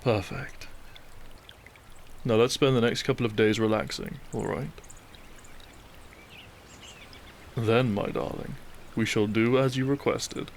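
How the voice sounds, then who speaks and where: Male actor as tender gentleman bridegroom: low and creaky, earnest, with noticeable ambient noise. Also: steady tone, a little slow, close to an everyday voice. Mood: loving, reassuring. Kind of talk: scripted romantic audio drama acting.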